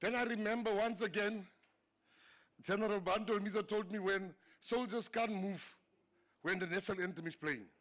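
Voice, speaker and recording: A man's voice: high voice, moderate pace, fairly bright tone. A South African man whose first language is Afrikaans, a government minister giving a humorous after-dinner speech, telling stories and laughing at his own jokes. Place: banquet hall.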